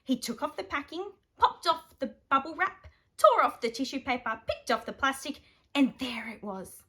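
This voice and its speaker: An Australian woman reading a children's picture book aloud, animated and dramatic with playful emphasis. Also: high voice, normal speed. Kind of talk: expressive children's bedtime story reading.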